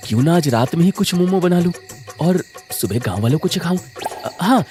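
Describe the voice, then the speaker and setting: Cartoon young chef, male performer: earnest, proud, eager, higher animated male voice. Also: a little fast, soft background music, close to an everyday voice. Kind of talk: cartoon story acting.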